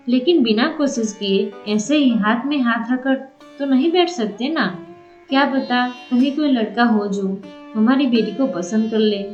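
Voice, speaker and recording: A woman's voice: a little high, a little slow, darkish tone, echoey room, muffled recording, soft background music, natural voice. A woman playing a caring village mother of a grown daughter in a cartoon story. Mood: concerned. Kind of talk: Hindi cartoon story dialogue.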